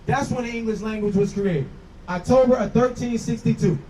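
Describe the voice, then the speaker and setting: A man's voice: extremely high pitch, brisk, mellow. A man street preacher debating in an insistent, instructive way, often repeating his points, recorded from a distance outdoors. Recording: distant mic, faint outdoor street noise.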